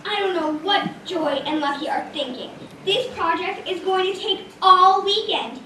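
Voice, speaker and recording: A woman's voice: very high-pitched, a little slow. A woman playing a proud, hard-working schoolgirl character in a community puppet show. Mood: exasperated. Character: diligent, proud girl student puppet who loves to twirl. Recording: echoey room, distant mic, clear noise.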